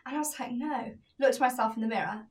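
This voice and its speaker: A woman in her twenties co-hosting a casual podcast, bubbly and sweary, her voice slightly hoarse. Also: on the high side, brisk, distant mic. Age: 20s. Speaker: podcast co-host. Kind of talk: casual chat.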